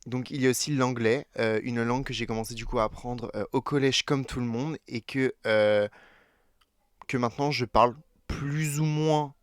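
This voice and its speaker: A man's voice: mid-range voice, fairly even intonation, normal speed, clear, resonant tone, no room sound, studio quality. A French teenage boy in his late teens, chatting casually to camera with many fillers and self-corrections. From France. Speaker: YouTuber.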